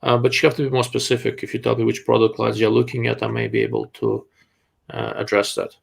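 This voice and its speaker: A man with a Russian accent hosts a live question-and-answer stream, giving blunt, dry answers. His mid-pitched voice has a gravelly edge. He talks plainly and is quick to call ideas out. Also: little pitch movement. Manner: blunt, dryly sarcastic. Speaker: host.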